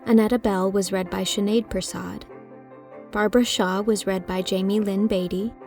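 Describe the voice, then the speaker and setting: A woman reads scripted audiobook credits as the host. Her voice sits at a middle pitch with a soft, relaxed tone, and music plays behind her. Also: moderate pace. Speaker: narrator and host reading credits.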